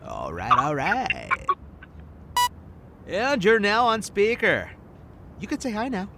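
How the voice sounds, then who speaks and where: An actor playing a cocky, flirtatious, eccentric field agent in an audio drama, smug and theatrical, dropping in bits of Spanish. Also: male voice, sits high, huge pitch swings, slow, creaky, background noise, full character voice. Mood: breezy, coaxing.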